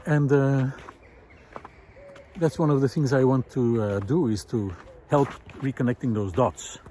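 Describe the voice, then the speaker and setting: A man's voice: mid-range voice, measured pace, warm tone, slight background noise. A man hosting a vlog, giving a reflective, unscripted talk with thoughtful, hesitant phrasing while walking outdoors. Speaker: vlog host. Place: outdoors in a snowy park, walking.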